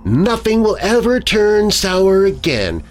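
A man's voice: on the high side, sing-song, normal speed, soft background music, pitched above the performer's own voice. A man voicing a coldly deranged wife who soothes and sneers with hissing menace in a horror story. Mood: sinister mockery.

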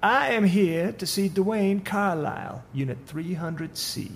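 Man doing an eerie, slow-talking pale stranger. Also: sits high, animated, slight background noise, voice pushed up, full character voice. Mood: cold. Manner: slow, smooth, quietly menacing.

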